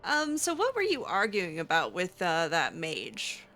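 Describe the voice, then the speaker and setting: A woman's voice: fairly low pitch, huge pitch swings, measured pace, pushed and bright, full character voice. A woman voicing a cheeky, skeptical female mage in casual, teasing improvised roleplay of a fantasy game. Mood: guarded, casual.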